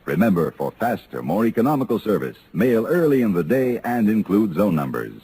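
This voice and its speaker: A man with an American accent reading scripted 1950s radio announcements in a measured, formal way. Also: fairly low pitch, big pitch swings.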